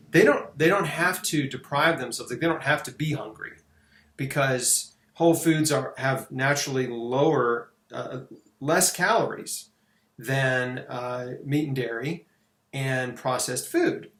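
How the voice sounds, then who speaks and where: A man's voice: mid-range voice, fairly bright tone. A man talking casually as host of a health interview, emphatic and often checking in with "right?". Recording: echoey room sound.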